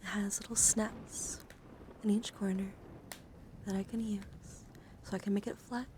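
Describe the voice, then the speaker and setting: A woman with a medium-pitched voice hosts a casual ASMR live stream. She speaks softly and often drops into a whisper, rambling informally to her chat with playful asides. Background noise and handling sounds are clearly audible behind her. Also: flat delivery, moderate pace. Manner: soft-spoken, often slipping into whispers. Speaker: streamer, host of a live card-collection stream.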